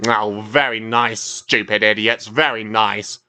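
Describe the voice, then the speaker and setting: A man voicing a gruff, sneering male tugboat who barks at the others. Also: lowish voice, steady tone, a little slow, pushed and bright.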